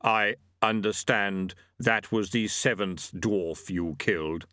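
Man voicing a skeletal male reaper, calm, patient, measured, lowered voice. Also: no room sound, studio quality.

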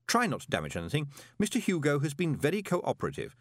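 A man's voice: a little high, wildly swooping pitch, fast talker, no room sound, studio quality, pitched above the performer's own voice, heavily acted. A man voicing a polite, confident young conman postmaster with a glib, persuasive manner in an audiobook. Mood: brisk, polite. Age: young adult.

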